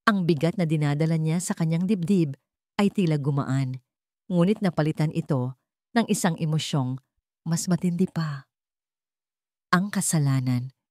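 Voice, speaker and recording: A Filipino woman reading a scripted romance story in a measured, emotive storytelling manner. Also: sits low, a little mellow, dry recording, studio quality. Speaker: story narrator.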